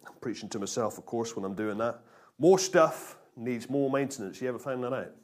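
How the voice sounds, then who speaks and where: A man's voice: mid-range voice, expressive, a little fast. A man preaching an informal sermon to a congregation, colloquial and jokey, often checking in with short questions.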